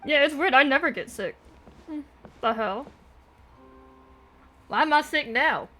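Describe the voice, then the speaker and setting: Higher-voiced woman streaming a video game. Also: animated, a little slow, bright, forceful tone, faint music behind. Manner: informal, swears freely. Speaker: livestreamer playing a video game. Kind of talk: game stream, reading lines aloud with casual reactions.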